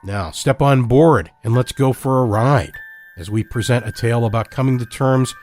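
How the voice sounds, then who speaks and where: A man hosting and narrating an audio drama, reading a scripted story in a measured, deliberate manner. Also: mid-range voice, a little mellow, soft background music. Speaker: host and narrator.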